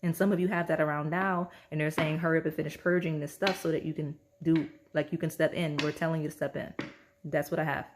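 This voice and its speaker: Hoarse woman reading tarot cards. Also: low-pitched, level tone, quick pace, a little hiss or hum. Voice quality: slight rasp. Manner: chatty, repeats "okay?" often. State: hoarse, losing her voice. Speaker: tarot reader. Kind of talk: casual pick-a-card tarot reading.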